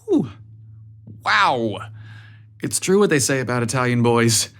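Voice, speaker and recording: A man's voice: mid-range voice, sing-song, unhurried, fairly bright tone. A man playing a good-humored, reluctant young man in a narrated story, with a wry manner. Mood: wry, delighted. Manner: sighing, wry.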